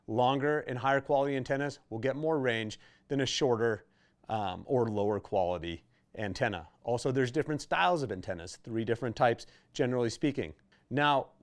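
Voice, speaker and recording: A man presenting an instructional video in a conversational, plain-spoken way, explaining things patiently step by step. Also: mid-range voice. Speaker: host of product tutorial videos for a radio retailer.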